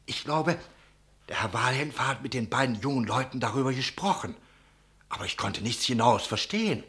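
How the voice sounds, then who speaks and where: A man's voice: mid-range voice, expressive, moderate pace, fairly bright tone, close to an everyday voice. An actor playing an anxious, talkative innkeeper in a German radio play, telling his account dramatically and deferentially. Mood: uneasy, explaining. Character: anxious, talkative male innkeeper giving testimony.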